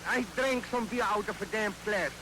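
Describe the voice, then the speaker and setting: A man's voice: high-pitched, normal speed, close to an everyday voice. A man playing a fibbing, bragging baron, stammering in broken English on a 1930s radio comedy. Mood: boastful. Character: bragging foreign dialect baron, middle-aged man, fibbing. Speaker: dialect comedian. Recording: loud crackle of 1930s radio recording.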